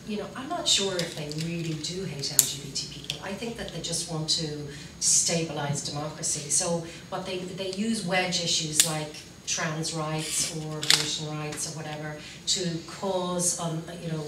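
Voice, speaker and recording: A woman's voice: low voice, steady tone, moderate pace, hardly any pauses. A woman in her forties with an Irish accent, earnestly speaking on a panel about youth work. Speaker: panellist, youth service worker. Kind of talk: panel discussion. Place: meeting room, seated at a panel table. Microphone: table mic on a stand, recorded from a distance. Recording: roomy, distant, with background noise.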